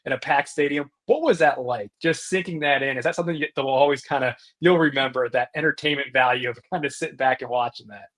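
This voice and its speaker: A man's voice: mid-range voice, fast, few pauses, a bit bright. A man hosting a sports podcast, asking long, winding interview questions. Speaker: podcast host.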